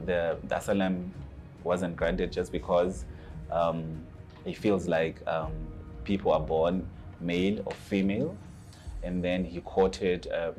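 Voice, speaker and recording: A man from Zimbabwe, earnestly recounting his own experiences and pausing to search for his words. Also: deep voice, darkish tone. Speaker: asylum seeker telling his story. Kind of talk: personal testimony to camera.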